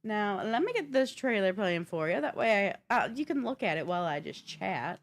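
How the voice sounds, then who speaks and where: Animated woman co-hosting gaming news. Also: mid-range voice, moderate pace. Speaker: co-host of a tech and gaming news show. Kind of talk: casual news segment with off-the-cuff chat.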